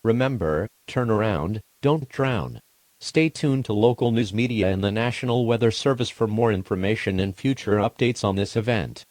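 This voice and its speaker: Casting: man playing impersonal emergency broadcast announcer, lowish voice, dry close sound, measured formal reading. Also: darkish tone, no room sound, natural voice.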